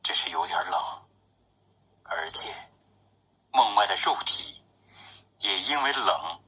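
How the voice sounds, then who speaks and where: A man reading his own poetry as a literary recitation, with measured, deliberate phrasing. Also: lowish voice, lively intonation, bright, forceful tone, husky.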